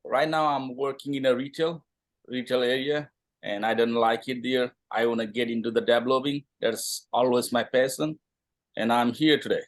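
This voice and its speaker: A man's voice: medium pitch, fairly even intonation, moderate pace. A man from Nepal introducing himself to an online class in short, plain sentences over a video call. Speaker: student. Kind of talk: self-introduction.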